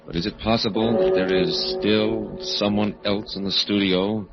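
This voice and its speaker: A man's voice: fairly low pitch, flat delivery, moderate pace. A man announcing a 1940s radio horror show in a dramatic, ominous scripted style. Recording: muffled old radio recording. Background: loud dramatic music.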